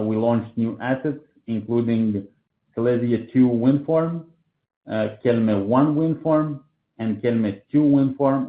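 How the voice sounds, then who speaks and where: A man giving a formal, scripted company results presentation in a measured way, as an executive on an earnings call. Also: medium pitch. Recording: tinny phone-like line, roomy echo.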